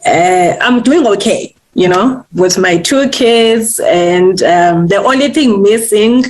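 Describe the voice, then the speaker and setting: A woman in her thirties, an author telling her own story as a radio guest, candid and halting, with many restarts. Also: medium pitch, a little mellow. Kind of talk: personal storytelling in an interview.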